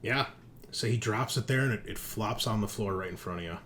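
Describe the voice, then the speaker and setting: A man working as game master, improvising descriptive narration for a tabletop horror game in a halting way. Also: fairly low pitch, fairly bright tone, slight background noise.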